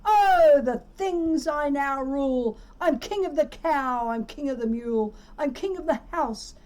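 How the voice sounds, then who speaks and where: A woman's voice: high voice, expressive, measured pace, a little mellow, rough recording. Woman putting on a grandiose, boastful turtle king. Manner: grandiose, commanding, bellowing. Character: pompous, power-hungry male turtle king, boastful and bullying. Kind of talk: children's storybook read-aloud.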